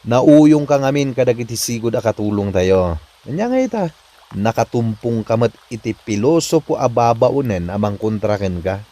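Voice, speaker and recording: Casting: actor as reasonable, teasing male spouse, Filipino accent, average pitch, chiding comedy-drama delivery. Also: lively intonation, normal speed, mellow, natural voice. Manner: chiding, needling. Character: teasing, reasonable husband of a bossy woman.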